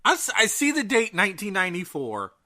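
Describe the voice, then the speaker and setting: A man's voice: high-pitched, huge pitch swings, brisk, sharp tone. A man co-hosting a casual podcast, emphatic and fond of repeating phrases for emphasis. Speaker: podcast co-host. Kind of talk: casual horror news chat.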